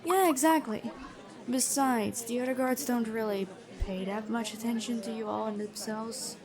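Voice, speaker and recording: A woman playing a stern but kind, noble-born prison guard in a fantasy ASMR roleplay, talking in a measured, conversational way. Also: on the high side, natural voice.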